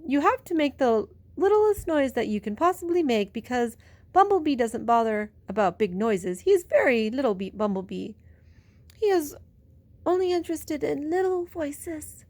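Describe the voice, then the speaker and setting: A woman's voice: high voice, very animated, moderate pace, a little mellow, no room sound, voice pushed up. A woman voicing a wise old male groundhog in a storybook, giving patient, instructive advice. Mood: wise, advising.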